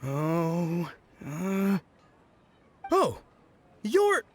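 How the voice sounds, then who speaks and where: Sincere young game character, lively intonation, bashful, hesitant, lighter male pitch. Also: drawn-out words, exaggerated voice. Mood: flustered. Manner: hesitant, earnest, animated. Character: earnest, bashful, lovestruck young festival worker. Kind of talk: video game voice acting. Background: music.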